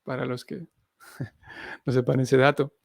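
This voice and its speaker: A man's voice: medium pitch, level tone, slow pace, vocal fry, no room sound, studio quality. A man giving a spiritual lecture, reading scripture and explaining it in a reflective way. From Honduras. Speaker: Hare Krishna missionary teacher.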